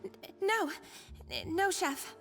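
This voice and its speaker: A woman's voice: very high voice, takes it slowly, fairly bright tone, heavily acted. A woman playing an earnest, nervous young restaurant cook in an anime video game, with a light, hesitant delivery. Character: earnest, nervous young female restaurant cook, loyal to her former chef. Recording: reverberant hall, music behind.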